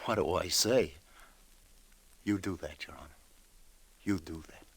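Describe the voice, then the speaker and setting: An actor playing a world-weary but kindly New York detective in a 1940s radio drama, plainspoken and a little hesitant. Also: male voice, sits low, a little slow. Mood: deferential. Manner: hesitant, folksy, wistful, plainspoken. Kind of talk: 1940s noir radio drama acting and narration. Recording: vintage radio drama with loud music.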